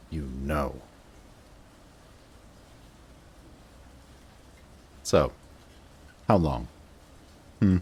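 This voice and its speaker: A man acts out a bitter, guarded male villain in an audio roleplay monologue. His voice sits very low and moves very slowly, with intense, sardonic delivery and dramatic pauses. Clear background noise sits behind the voice. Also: darkish tone, heavily acted. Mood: shaken, resigned. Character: bitter adult male villain, guarded, secretly devoted to rival.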